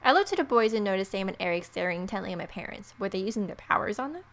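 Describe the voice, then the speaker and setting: A woman's voice: mid-range voice, animated, very fast, fairly bright tone. A woman hosting a gaming video, reading a visual novel's story aloud with playful asides.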